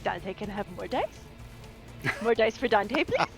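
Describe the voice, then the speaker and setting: A woman's voice: medium pitch, big pitch swings, unhurried, vocal fry, faint music behind. A woman playing a soldier who answers in short clipped replies in a tabletop game. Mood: eager. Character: female soldier Dante, speaking of herself in third person.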